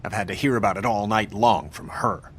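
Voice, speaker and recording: An actor playing a suspicious, easily riled husband in a game drama, speaking with sarcasm and indignation. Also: male voice, on the low side, brisk, fairly bright tone, a little hiss or hum, close to an everyday voice. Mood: sullen, sarcastic. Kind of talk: video game drama acting.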